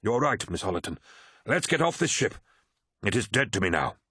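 A man's voice: on the low side, big pitch swings, moderate pace, sharp tone, husky, no echo, clean studio sound, full character voice. A man voicing a weary, disillusioned old warrior captain in a science fiction audiobook, grave and resolute.

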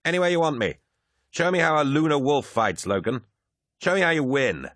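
A man putting on a cocky, high-pitched warrior duellist. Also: expressive, moderate pace, a bit bright, no echo, studio quality. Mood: crowing challenge. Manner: taunting, boastful. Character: cocky, crowing young warrior duellist, plaintive and demanding.

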